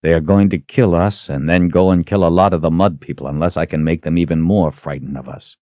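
A man's voice: low voice, steady tone, a little fast, dry recording, dull audio, close to an everyday voice. A man voicing a cold, defiant fantasy hero in an audiobook, taunting and intense. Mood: cold menace. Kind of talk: dramatic audiobook character acting.

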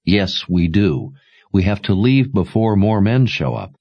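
A man's voice: fairly low pitch, sing-song, a little slow, highs cut off, voice pushed up, exaggerated voice. A man voicing a firm, urgent young man. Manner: firm, pressing, earnest. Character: steady young man urging escape.

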